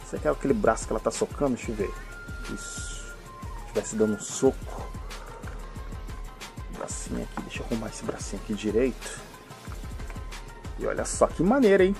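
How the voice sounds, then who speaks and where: A man's voice: lowish voice, animated, a little fast, gravelly, long pauses, music in the background. A man with a mixed São Paulo and Rio accent, chatting through a casual toy review, full of tag questions and cheerful asides. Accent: mixed São Paulo and Rio de Janeiro Brazilian Portuguese. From Brazil. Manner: chatty, fills with "né?" and "hein?", enthusiastic.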